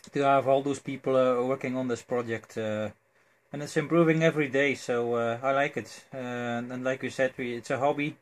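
A man talking as an amateur radio operator in an unscripted exchange, with a hesitant, halting delivery. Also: mid-range voice. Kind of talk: unscripted ham radio exchange with spelled call signs.

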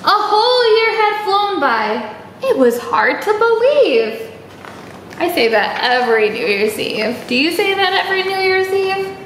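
A young woman with a very high, swooping voice leads a storytime for small children. She speaks in an exaggerated, encouraging manner, often putting questions to her young listeners. The room around her sounds echoey. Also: big pitch swings, unhurried, a bit bright. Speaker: library storytime host. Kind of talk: children's storytime read-aloud, chatting and singing to young viewers. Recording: echoey room with faint background noise.

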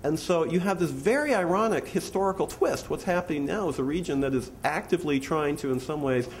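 A middle-aged man giving analytical remarks on a panel in a measured, deliberate way. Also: medium pitch, darkish tone. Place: indoor conference panel stage. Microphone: gooseneck table microphone. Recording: faintly noisy conference room recording.

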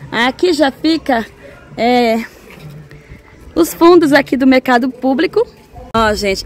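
Woman, high voice, casual walking-tour narration, outdoors, faint traffic behind. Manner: chatty, guiding viewers along. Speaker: vlog host. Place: outdoors at a street market. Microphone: handheld mic.

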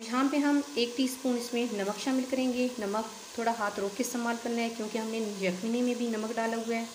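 Cooking host: woman, calm and methodical, slightly high voice, recorded in an echoey home kitchen. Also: fairly quick, background noise. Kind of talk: step-by-step recipe voice-over.

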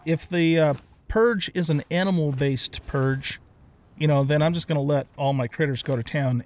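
Older American man, slightly high voice. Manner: folksy, easygoing, thinks aloud. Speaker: gaming video host. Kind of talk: casual let's play commentary.

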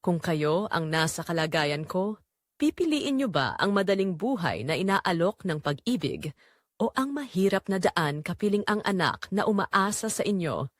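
A woman with a Filipino accent reads dramatic first-person scripted narration as a storyteller, in an emotional, expressive way. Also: lowish voice, normal speed, dry recording, studio quality.

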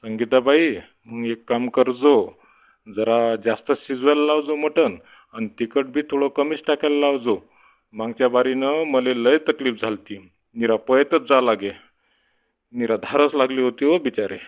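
A man's voice: mid-range voice, unhurried, natural voice. A man voicing a fussy, complaining village father-in-law in a comedy cartoon, with a Varhadi Marathi accent. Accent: Varhadi Marathi from Vidarbha. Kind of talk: comedy cartoon dialogue in rural dialect. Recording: dull, muffled sound.

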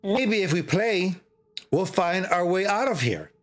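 A middle-aged man hosting a livestream, chatting casually and reading a horror story in a drawn-out, theatrical way with pauses. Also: high voice, very animated. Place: indoor streaming setup. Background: music.

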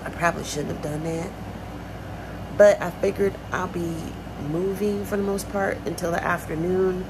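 A woman's voice: lowish voice, fairly even intonation, moderate pace, background noise. A woman, chatting casually to her vlog viewers and calling them y'all. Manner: chatty, addresses viewers as y'all, many fillers. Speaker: vlogger. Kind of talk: casual vlog chat to camera. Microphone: phone.

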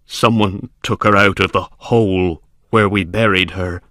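A man's voice: low-pitched, steady tone, unhurried, bright, forceful tone, no echo, clean studio sound. A man voicing a terrified male servant, shaken and urgent, in a gothic story reading. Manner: shaking, urgent, deferential.

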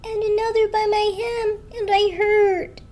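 Very high, whimpering electric blanket. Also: female voice, little pitch movement, measured pace, a little mellow. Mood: pained, whimpering. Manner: whimpering, plaintive.

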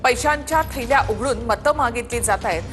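Woman news anchor, highish, over music. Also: fairly quick. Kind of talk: scripted news headlines.

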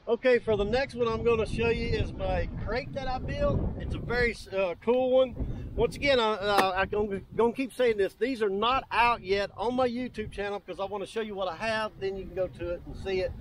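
High-voiced man giving a casual outdoor demo. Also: brisk, background noise. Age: middle-aged. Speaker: YouTube host demonstrating DIY kayak builds. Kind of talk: casual walk-through demonstration. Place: outdoors on open grass by water.

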